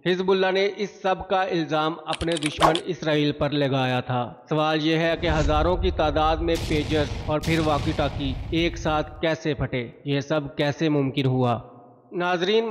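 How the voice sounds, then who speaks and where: A man reading a scripted documentary-style explainer in a formal, measured way. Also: fairly high pitch, a little hiss or hum. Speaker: narrator of an explainer video. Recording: muffled, long reverb on voice.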